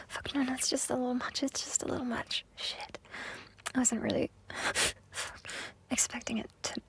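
A woman with a high voice whispers right into the mic in a breathy, hushed tone. She pleads and coaxes, often saying the same words two or three times over. The whole piece is intimate audio roleplay.

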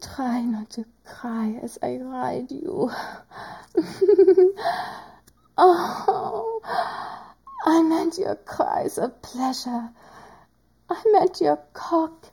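A woman voicing a teasing, doting woman doctor in an adult audio roleplay, speaking in a slow, whispery, drawn-out way. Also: high voice, lively intonation, gravelly, mellow, heavily acted. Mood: sultry teasing.

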